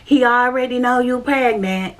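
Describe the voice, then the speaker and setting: A woman's voice: a little high, normal speed, close to an everyday voice. A woman doing an insistent church mother, pushy, matter-of-fact, quoted in a personal vlog.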